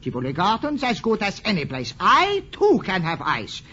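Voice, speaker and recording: A man playing a proud, excitable café owner, broken English and a husky voice in 1940s radio acting. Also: high voice, moderate pace, full character voice. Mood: flustered, insistent. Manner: broken English, eager, chuckling, boastful. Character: excitable, proud Caribbean café proprietor, foreign. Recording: dull vintage 1940s radio recording.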